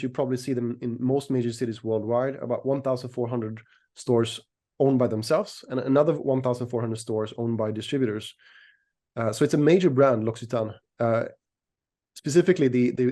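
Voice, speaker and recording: Mellow middle-aged man from Sweden. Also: medium pitch. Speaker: interview guest, equities analyst and newsletter writer. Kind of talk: conversational interview explanation.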